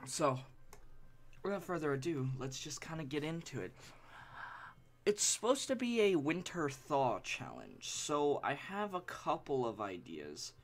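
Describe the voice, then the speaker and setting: A young man live-streaming his drawing session, sniffly from allergies and chatting in a rambling, halting, apologetic way. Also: fairly high pitch, big pitch swings. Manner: rambling and halting, with frequent restarts; self-deprecating and apologetic. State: sniffly, stuffy nose from allergies. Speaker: art streamer. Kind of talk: casual live-stream chat while drawing. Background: soft background music.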